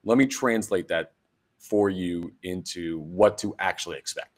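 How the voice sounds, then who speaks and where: A young man hosts a sports podcast on his own, his voice a little hoarse and deeper than usual after a night out. He talks casually and rambles with fillers. When things go wrong he turns dry and sarcastic. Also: a little slow. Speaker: podcast host. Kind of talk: casual solo sports talk.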